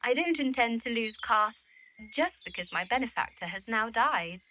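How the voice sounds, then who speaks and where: A woman's voice: a little high, animated, normal speed, sharp tone. A woman playing a poised young widowed lady poet in a period audio drama, with theatrical, refined diction. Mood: airy, confiding.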